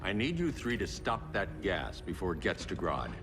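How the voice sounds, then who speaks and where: An actor playing a grim, guarded billionaire vigilante in a video game, terse and commanding. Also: male voice, sits low, huge pitch swings, normal speed, heavily acted. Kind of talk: video game cutscene acting. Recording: long reverb, loud music behind.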